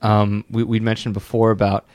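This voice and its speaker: A man hosting a casual podcast interview, asking questions in a halting way and often stumbling over his words. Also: on the low side, little pitch movement, darkish tone, no room sound. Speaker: podcast host.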